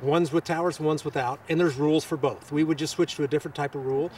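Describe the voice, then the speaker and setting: Halting man, recorded at a distance. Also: a little high, steady tone, a little mellow, slight background noise. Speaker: local government official being interviewed. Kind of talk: press interview answers.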